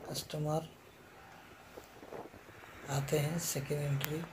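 Slow, flat-voiced man touring a mall. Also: fairly high pitch, background noise. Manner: repetitive, addresses viewers as friend. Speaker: vlogger giving a walking tour. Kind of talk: casual walking tour narration. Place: outdoors and inside a large shopping mall.

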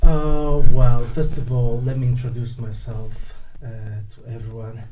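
Guest, late-20s man from Congo, mellow dark tone, halting delivery, faint hiss. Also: medium pitch, low-quality recording. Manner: hesitant, halting with many uh fillers. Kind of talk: telling his personal story. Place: studio set with wooden slat wall. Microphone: desk mic on stand.

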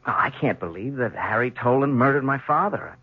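An actor playing an earnest, shaken young son in a radio drama, speaking hesitantly. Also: male voice, mid-range voice, expressive, fairly quick, husky, no echo. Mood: earnest, troubled. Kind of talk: 1970s radio drama acting. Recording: muffled vintage radio broadcast.

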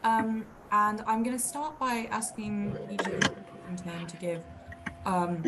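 A woman's voice: mid-range voice, steady tone, measured pace, heavy background noise. A woman moderating an online panel discussion on a video call, speaking politely and tentatively.

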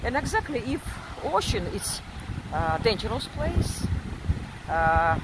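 A woman's voice: a little high, very animated, slow. An older woman with a strong Russian accent, a psychiatrist vlogging casually outdoors by a windy beach, speaking into a phone held at arm's length and often repeating words for emphasis. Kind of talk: casual walking vlog. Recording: wind noise on the phone mic.

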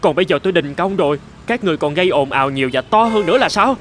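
A man's voice: a little high, lively intonation, racing, lightly acted. Man acting a plaintive, gloomy talking traffic light. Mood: fed up. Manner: plaintive, complaining. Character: gloomy, self-pitying talking traffic light longing for freedom. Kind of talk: animated fairy-tale dubbing. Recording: music under the voice.

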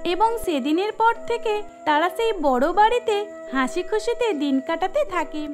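A woman's voice: extremely high pitch, expressive, unhurried. A woman narrating a scripted cartoon story, with music under her voice.

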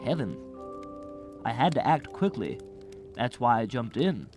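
A man playing a gentle, naive old angel in a radio drama, earnest, quaint and a little prim. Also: mid-range voice, sing-song, unhurried, soft background music. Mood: earnest, matter-of-fact.

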